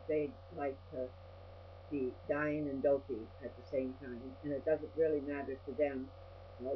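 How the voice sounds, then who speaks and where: An older woman video blogger giving a rambling personal monologue in a blunt, salty-tongued, ranting manner. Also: low voice. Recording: dull lo-fi audio with mains hum.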